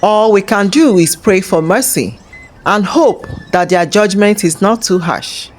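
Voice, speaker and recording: A man's voice: high-pitched, a little slow, a little hiss or hum. A woman voicing a grave old male village healer in a folktale, solemn and resigned. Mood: grave, sorrowful. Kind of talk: folktale storytelling.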